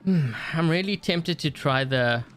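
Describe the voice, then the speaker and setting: A man's voice: on the high side, unhurried, a bit bright, faint music behind. A man from South Africa commentating his own game live, chatting and thinking aloud with lots of fillers. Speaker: game streamer. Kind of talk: casual live-stream gameplay commentary.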